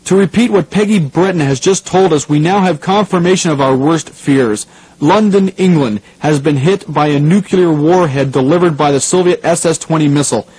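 A man playing a shaken radio news host in an audio drama, husky and trying to keep a formal composure. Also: on the high side, darkish tone, slight background noise, natural voice, rough recording. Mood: stunned, grieving. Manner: halting, struggling to stay composed. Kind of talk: radio news broadcast acting.

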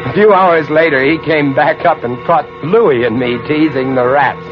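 A man's voice: on the high side, normal speed, close to an everyday voice. Male actor as spooked lighthouse keeper narrating old radio horror, intense, muffled sound, big music behind. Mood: grim, intense. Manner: dramatic, intense, suspenseful. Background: dramatic music.

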